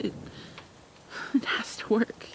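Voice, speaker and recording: ASMR roleplay: actress as possessive yet tender female captor, haunted, coaxing, halting, breathy whispers, very unhurried, mid pitch, rain noise behind. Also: close to an everyday voice. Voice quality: soft, breathy, often dropping into whispers. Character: tender, obsessive female kidnapper soothing her captive, haunted, possessive. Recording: clear background noise, rain ambience.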